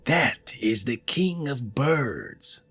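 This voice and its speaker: A careful, knowing wolf in a fairy tale, advising patience in a slow, measured drawl, as a man reading aloud puts him on. His voice has a faint gravelly texture. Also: medium pitch, lively intonation, bright, forceful tone, faint music behind, voice pushed up, heavily acted. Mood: cautious. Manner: slow, drawn-out, slightly raised. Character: wary male wolf, cautious.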